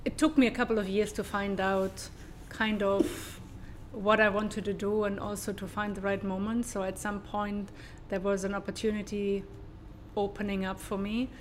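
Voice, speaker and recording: Flat-toned woman with a German accent. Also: mid-range voice, moderate pace, a little hiss or hum. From southern Germany. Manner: hesitant, many fillers and restarts. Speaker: guest, sustainability consultant. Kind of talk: casual interview.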